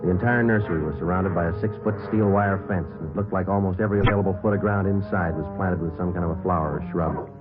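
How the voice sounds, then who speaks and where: An actor playing a terse, unflappable police sergeant, narrating and speaking in a clipped, deadpan, matter-of-fact way in an old-time radio detective show. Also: male voice, sits low, quick pace, natural voice. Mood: matter-of-fact narration. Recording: muffled vintage radio recording. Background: music.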